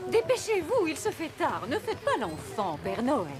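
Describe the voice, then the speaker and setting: A woman voicing Santa's caring, fussy, devoted female helper in an animated cartoon, with expressive delivery. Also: high-pitched, normal speed. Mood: hurried. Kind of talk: animated cartoon voice acting in French. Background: background music.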